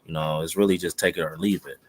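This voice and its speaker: A man, chatting on a podcast in a relaxed, rambling way full of fillers. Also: deep voice, fast, a little hiss or hum. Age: 30s. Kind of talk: casual podcast chat.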